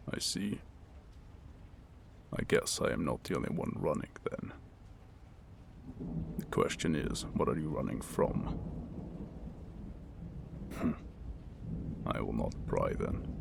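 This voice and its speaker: A man playing a wounded mercenary warrior in an ASMR roleplay, guarded and wry but secretly tender, murmuring slowly with halting, formal, old-fashioned phrasing. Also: heavily acted. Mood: curious, gentle. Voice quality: deep, gravelly, breathy murmur. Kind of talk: ASMR roleplay acting, intimate second-person monologue. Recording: rain and thunder ambience noise. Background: rain and thunder.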